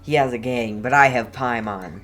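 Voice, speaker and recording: A man's voice: medium pitch, moderate pace, fairly bright tone. A man hosting a casual let's play, rambling and self-deprecating as he comments. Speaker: gaming video host. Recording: faint background noise.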